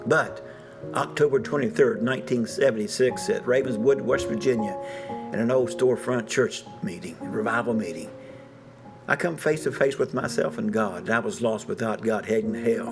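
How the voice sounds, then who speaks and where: An older man telling a personal story in a folksy, animated way, laughing often and repeating "you know what I'm saying.". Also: on the low side, normal speed, gravelly. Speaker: storyteller, preacher. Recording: music bed underneath.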